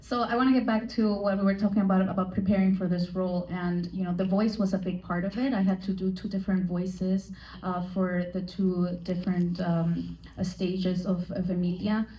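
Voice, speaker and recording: Woman interpreter, lots of ums, mellow tone, far from the mic in an echoey theater. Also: mid-range voice, fairly even intonation, normal speed. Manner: hesitant, filler-heavy. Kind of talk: live interpreting on a panel. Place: theater stage at a premiere. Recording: distant echoey theater mic, faint hum.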